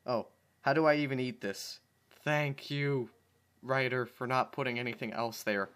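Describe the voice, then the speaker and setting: A man's voice: mid-range voice, fairly even intonation, moderate pace, a bit bright. Man reading aloud with sarcastic asides. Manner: sarcastic, exasperated running commentary. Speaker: channel host reading fan fiction aloud. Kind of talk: dramatic reading with comic asides.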